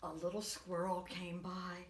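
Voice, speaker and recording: A woman, a preschool teacher, reading a picture book aloud to young children in an expressive, dramatic way. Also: on the low side, unhurried, a little hiss or hum. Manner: expressive, dramatic whispers and swoops. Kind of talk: animated read-aloud storytelling for young children. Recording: echoey room.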